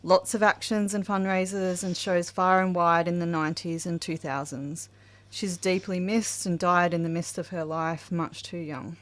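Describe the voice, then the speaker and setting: Low-voiced Australian woman reading tributes. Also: steady tone, moderate pace, fairly bright tone. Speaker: podcast reader of memorial tributes.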